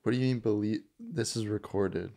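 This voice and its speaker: A man's voice: fairly low pitch, lively intonation, normal speed, dark tone. A man chiming in with casual banter as a podcast's producer.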